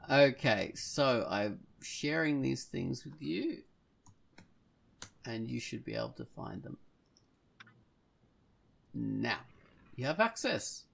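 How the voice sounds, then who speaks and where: A man with a gravelly, creaky edge to his mid-range voice hosts a casual podcast. He speaks haltingly, working out his thoughts as he goes. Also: medium pitch, very animated, bright, forceful tone, a little hiss or hum. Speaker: podcast host.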